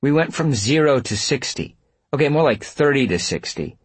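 A man's voice: mid-range voice, expressive, normal speed, breathy edge, no echo, pitched above the performer's own voice. A man narrating a story, voicing a busy, snappish young woman who runs a restaurant and talks in an impatient, defensive way.

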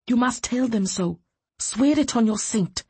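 A narrator, a woman, plays a bold protective woman in a fantasy novel. Her voice is husky, earnest and formal, recorded dry. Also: fairly high pitch, animated, a little slow, mellow, dry recording, pitched above the performer's own voice, heavily acted. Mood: urgent, hushed. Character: bold, protective woman defying armed soldiers.

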